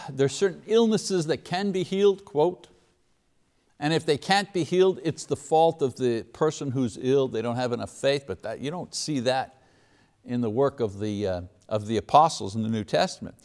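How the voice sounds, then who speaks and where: A man's voice: a little high, darkish tone. A man giving a recorded Bible lesson, explaining the text in an unscripted way with fillers and restarts, reading scripture passages aloud and asking rhetorical questions. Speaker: Bible teacher.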